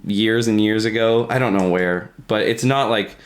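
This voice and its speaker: A man's voice: fairly low pitch, moderate pace. A man chatting casually as a podcast co-host, profane and self-mocking in a crude comedic way.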